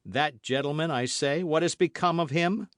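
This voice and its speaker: A man reading aloud, voicing an angry young swordsman who demands answers in a raised, forceful voice. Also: mid-range voice, sing-song, normal speed, no room sound, studio quality, pitched above the performer's own voice, lightly acted. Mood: menacing.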